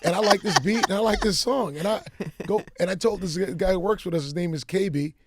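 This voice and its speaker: A middle-aged man with a raspy voice, a music executive telling stories freely as a guest in a casual radio-studio interview. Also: sits high, a little fast, very noisy. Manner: animated storyteller, talks freely.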